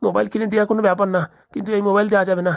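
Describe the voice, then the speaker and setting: Woman doing a boastful cartoon husband, quick and bossy. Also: medium pitch, level tone, creaky, a little mellow, lightly acted. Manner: brisk, boasting threats. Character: middle-aged husband and father, boastful and bossy.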